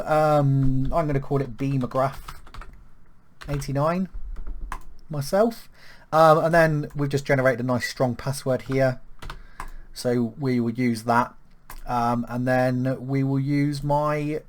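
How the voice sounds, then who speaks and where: A man from the UK talking through a software setup step by step, with lots of ums and small restarts. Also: medium pitch. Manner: relaxed, filler-heavy walkthrough with frequent ums. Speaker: web tutorial presenter. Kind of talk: screen-recorded software tutorial.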